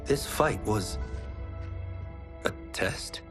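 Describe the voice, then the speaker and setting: A sincere young village warrior speaking slowly in a mid-range voice. He is modest and hesitant, in game cutscene style over music. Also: lowish voice, lively intonation, a bit bright, exaggerated voice. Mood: puzzled. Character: humble, earnest young village fighter. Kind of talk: scripted video game cutscene acting.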